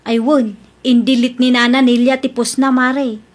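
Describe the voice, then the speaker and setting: An actress plays an opinionated woman neighbour in an Ilocano comedy radio drama. Her voice is very high, with an Ilocano accent. She is chatty and gossipy, quick to chide and advise. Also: flat delivery.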